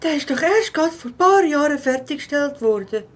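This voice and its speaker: A woman's voice: high-pitched, animated, brisk. A woman voicing an outspoken young friend in a children's audio drama. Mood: indignant.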